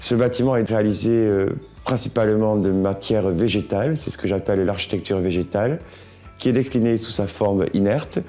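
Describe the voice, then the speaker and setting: A man presenting a project as an architect, explaining in deliberate, halting phrases. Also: fairly low pitch, dark tone, soft background music.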